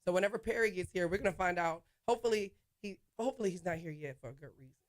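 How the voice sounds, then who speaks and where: A woman hosting a sports talk show solo, chatting casually with listeners. She calls them y'all, and her voice is slightly hoarse. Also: fairly low pitch, racing.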